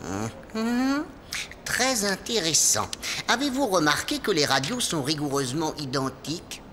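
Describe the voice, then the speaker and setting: A man's voice: a little high, very animated, unhurried, pushed and bright, a little hiss or hum, full character voice. A man playing a sensible animal doctor in a children's cartoon, polite and slightly pompous. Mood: reasoning. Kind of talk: children's cartoon dubbing in French.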